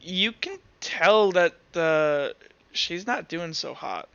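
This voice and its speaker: A man narrating a tabletop game as game master in a casual, improvised way, informal and halting as he thinks aloud. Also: sits high, animated, creaky, sharp tone, clear, resonant tone, dry recording, highs cut off. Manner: informal, swearing, hesitant while improvising.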